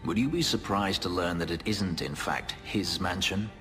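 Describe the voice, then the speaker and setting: An actor playing a brooding, guarded elf who escaped slavery, speaking in a measured, formal, wryly understated way in a video game. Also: male voice, bright, forceful tone. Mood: wary, dry. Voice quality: deep, low voice. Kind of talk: dramatic video game acting. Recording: long reverb, music behind.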